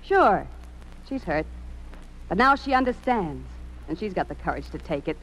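A woman's voice: fairly low pitch, very animated, normal speed, a bit bright, rough recording. An actress playing a blunt, indignant, tough-talking woman in an old radio drama. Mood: indignant, scolding. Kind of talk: 1950s radio drama acting. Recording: old radio recording, some noise.

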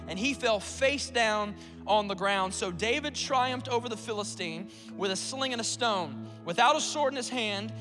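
A man in his late twenties, a pastor preaching a sermon from the pulpit in a church, energetic and playful with call-and-response to the congregation. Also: very high voice, big-room sound. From South Carolina. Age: 20s. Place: church sanctuary.

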